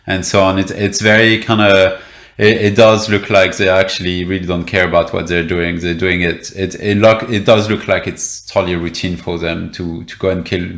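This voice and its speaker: A man's voice: deep voice, level tone, normal speed, a bit bright, reverberant. A man, a reporter guest in an interview, explaining events with many fillers and restarts. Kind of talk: interview conversation.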